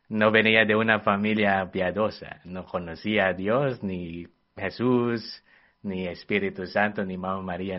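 A man's voice: deep voice, dull audio. A man from the Philippines with a Filipino accent, speaking careful, earnest Spanish he is still learning. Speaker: missionary in formation.

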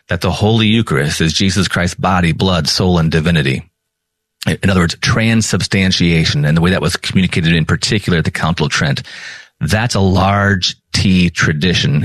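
A man's voice: sits low, a bit bright, no echo. An American man from Minnesota giving an informal explanatory lesson as a theology teacher, stressing and stretching key terms. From Minnesota, United States.

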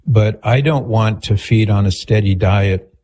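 A man hosting a call-in radio show, calm and attentive as he restates what his callers say. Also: fairly low pitch, unhurried, a little hiss or hum. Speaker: radio host.

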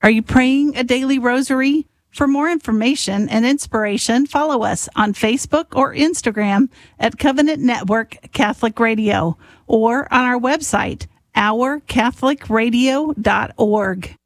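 A woman announcer reading a smooth, inviting radio promo. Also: fairly high pitch, measured pace, dry recording. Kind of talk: read station promo.

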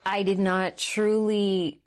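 A woman's voice: medium pitch, flat delivery, a little mellow. A woman in her thirties from Canada, a guest sharing her personal faith story in an earnest, reflective way. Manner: earnest and reflective, slowing down on key words.

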